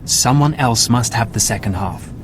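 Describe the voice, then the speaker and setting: An actor playing a dutiful, earnest intelligence analyst in a video game, speaking in a businesslike way. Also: male voice, medium pitch, lively intonation, moderate pace, noisy background, lightly acted. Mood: earnest briefing.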